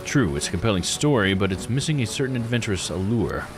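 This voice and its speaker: A man's voice: fairly low pitch, lively intonation, fast, over music. A man voicing a curious, theatrical male adventurer in visual novel dialogue, musing and grandly polite. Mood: intrigued, musing. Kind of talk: visual novel character read-aloud.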